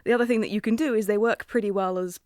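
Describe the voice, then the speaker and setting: A softly spoken woman with a mixed accent carrying a New Zealand tinge, chatting as a filmmaker guest in a radio studio. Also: mid-range voice, steady tone, very fast, no echo. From Wales. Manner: softly spoken, laughs often. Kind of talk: casual radio interview. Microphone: studio mic.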